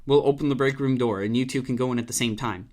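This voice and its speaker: A man's voice: medium pitch, steady tone, fast, a little mellow, natural voice. Man acting a nervy, stammering young genius double agent. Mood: reluctant. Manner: stammering, slangy. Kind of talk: audio drama acting. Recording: dramatic music behind the voice.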